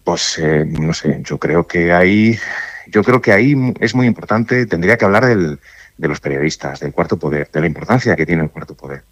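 Deep-voiced man, halting and thoughtful. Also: lively intonation, no room sound. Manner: thoughtful, halting, many restarts and fillers. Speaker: judge interviewed on radio. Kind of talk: radio interview answers.

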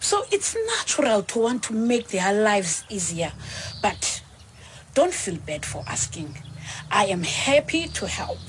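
A woman voicing a kind, wise male peacock who speaks softly and soothingly in a storybook. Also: medium pitch, big pitch swings, a little slow, slight background noise. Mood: gentle.

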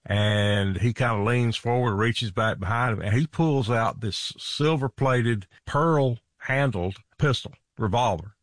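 A man's voice: mid-range voice, a little slow, hardly any pauses, no echo, clean studio sound. A man hosting a casual chat, telling folksy stories and chuckling often. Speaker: host.

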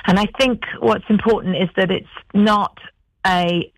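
A middle-aged English woman chatting as a guest in a radio interview, quick to laugh. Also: medium pitch, steady tone, no echo, low-quality recording. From England. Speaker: guest singer.

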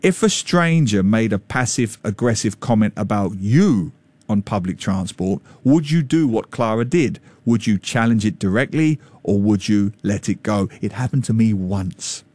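A man in his fifties talks on a radio show in a mid-pitched, loud, lively voice. He tells jokey stories in a chatty way. The sound is close and dry. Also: moderate pace, no echo. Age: 50s. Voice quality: slightly loud voice. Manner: chatty, jokey, animated storytelling. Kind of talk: casual radio talk. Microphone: studio microphone.

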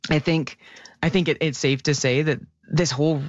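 A woman science columnist explaining research in a lively, animated radio chat, with a naturally loud voice. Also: sits low, moderate pace, no room sound, highs cut off.

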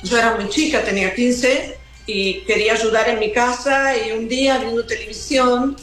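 A woman's voice: on the high side, steady tone, moderate pace, a bit bright, soft background music. Woman in her seventies, roomy sound. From Argentina. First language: Italian. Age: 70s. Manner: chatty, laughs easily. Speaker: singer, guest. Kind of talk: casual interview.

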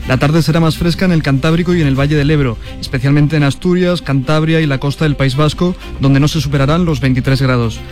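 Fast, higher-voiced male weather reporter. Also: darkish tone, faint music behind. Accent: Castilian Spanish. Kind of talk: scripted radio weather report.

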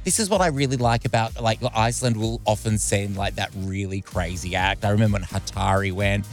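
A man's voice: lowish voice, a little fast, faint music behind. A man with an Australian accent chatting as an interviewer in a gushing, enthusiastic way.

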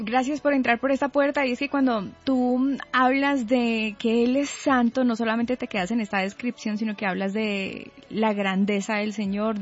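A woman's voice: fairly high pitch, muffled recording. A woman co-hosting a radio interview, commenting to the guest and asking about the music. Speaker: radio co-host.

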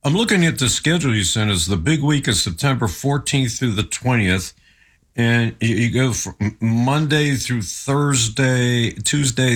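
An older man, around seventy, with a medium-pitched voice. He speaks as an interview host, asking and commenting in a casual, conversational style.

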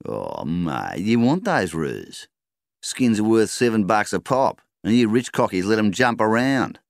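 A man's voice: lowish voice, big pitch swings, measured pace, vocal fry, no echo, studio quality, voice pushed up, full character voice. A man voicing a brash, cocky roo shooter. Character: gruff rough-spoken male kangaroo shooter.

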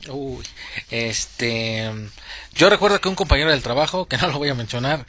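A man with a Mexico City Mexican accent, working as an informal radio traffic and weather reporter in a studio, a little halting and repeating words. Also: medium pitch, expressive, sharp tone. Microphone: studio microphone.

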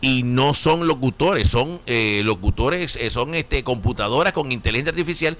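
A man's voice: medium pitch, lively intonation, normal speed, a bit bright. Playful laughing male radio host. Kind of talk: joking radio chat.

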